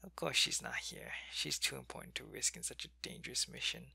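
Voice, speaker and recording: A man voicing a sick male alien changeling soldier, loyal to his queen, who talks in a soft, weary ASMR whisper. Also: medium pitch, wildly swooping pitch, fairly quick, vocal fry, husky, no echo, full character voice. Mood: weary, ill. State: sick and weak, in pain. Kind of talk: ASMR roleplay.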